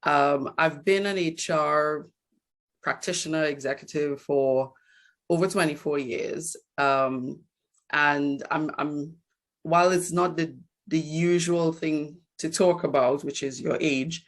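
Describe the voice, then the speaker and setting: Woman in her 40s, low voice, takes her time, reflective, restarts a lot. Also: slow pace. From Trinidad and Tobago. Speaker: guest speaker, HR executive. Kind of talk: personal talk at a launch event.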